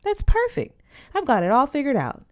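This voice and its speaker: A woman voicing a bossy, generous teenage girl in a storybook, brisk, teasing and persuasive. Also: high-pitched, huge pitch swings, a little mellow, pitched above the performer's own voice. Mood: excited, scheming.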